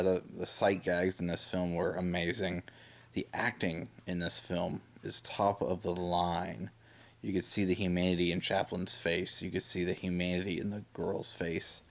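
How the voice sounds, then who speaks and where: A man's voice: sits low, vocal fry. A young man chatting casually in a vlog, rambling and hesitant with lots of fillers, while sick and getting over a lost voice. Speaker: vlogger.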